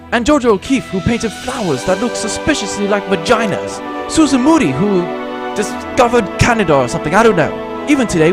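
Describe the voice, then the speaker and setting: A man voicing a glib, cocky space captain hero who makes grandiose speeches in a comedic audio drama. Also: high voice.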